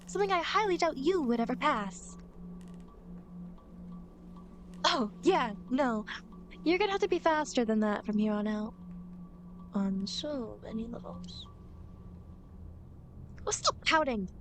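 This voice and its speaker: A woman's voice: high voice, animated, unhurried, pauses often, faint music behind. A woman playing a playful, smug demon girl guiding a soul through the afterlife in an ASMR roleplay, speaking in a theatrical, teasing way. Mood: mock-exasperated. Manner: theatrical, teasing, mock-grand phrasing. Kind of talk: ASMR roleplay, acted monologue to the listener.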